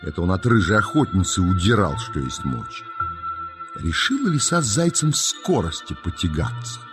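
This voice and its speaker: Low-voiced guy narrating a kids story, very animated and folksy, music behind him. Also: normal speed. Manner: folksy, dramatic, savouring words. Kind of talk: expressive children's storytelling narration.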